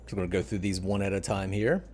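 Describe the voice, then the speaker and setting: A man's voice: low-pitched, steady tone, fast talker, a little mellow. A middle-aged man hosting a casual video game talk show on a headset mic. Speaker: podcast host. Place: indoor room at home.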